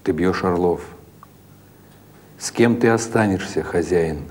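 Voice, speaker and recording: A massive old gang chief acted by a male reader from a literary audiobook. He speaks very low, in blunt, terse demands, afraid of no one. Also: measured pace, darkish tone. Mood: grave, demanding. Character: huge old gang boss, blunt, unafraid.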